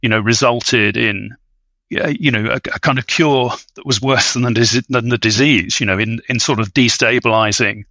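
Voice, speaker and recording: Bright-voiced man, halting and scholarly. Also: medium pitch, fairly even intonation, no room sound. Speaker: guest film commentator. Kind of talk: thoughtful film discussion.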